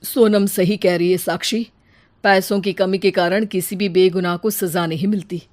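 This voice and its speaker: A woman's voice: medium pitch, steady tone, normal speed, darkish tone, natural voice. A woman voicing a caring, responsible elder brother in a cartoon moral story, speaking earnestly. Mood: reassuring.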